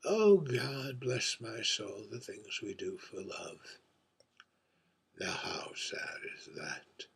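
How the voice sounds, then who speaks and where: A man playing a husky, world-weary drag performer. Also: lowish voice, very animated, full character voice. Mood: melancholy, philosophical. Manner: confiding, deliberate, swinging from hushed breathy murmurs to emphatic bursts. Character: world-weary, wry drag performer named Trick, confiding over drinks. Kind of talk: theatrical dramatic monologue read by its author.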